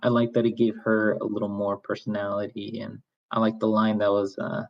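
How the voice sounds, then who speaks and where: A man's voice: on the low side, monotone, a little fast, creaky. Dull lo-fi recording, casual tentative man. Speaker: participant in a script discussion. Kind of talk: casual chat.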